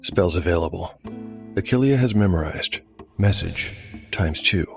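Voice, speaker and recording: A man narrating a scripted dark fantasy tale as game master, with measured storytelling delivery. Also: low voice, expressive, gravelly. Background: music.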